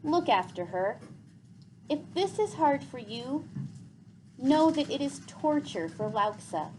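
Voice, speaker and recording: A woman voicing a wise, gentle old man in a storybook, speaking in a grave, formal and measured way. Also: high-pitched, very animated, a little hiss or hum, pitched above the performer's own voice, full character voice. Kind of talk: storybook read aloud.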